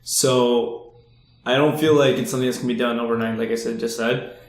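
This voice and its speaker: A man's voice: medium pitch, steady tone, a little fast. A man, chatting casually on a podcast. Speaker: podcast participant. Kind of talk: casual chat. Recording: roomy, echoing sound.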